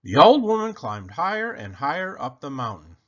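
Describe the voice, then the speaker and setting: A man reading a children's picture book aloud expressively, with theatrical, deliberate phrasing, on slightly dull, lo-fi audio. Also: a little high, very animated.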